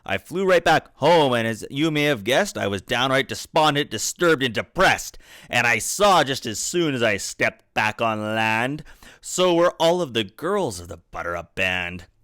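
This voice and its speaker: A man's voice: mid-range voice, sing-song, unhurried, sharp tone, dry recording. Man reading a rhyming storybook, dry sound. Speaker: father reading a children's book aloud. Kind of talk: storybook read-aloud in rhyme. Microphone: USB desktop microphone.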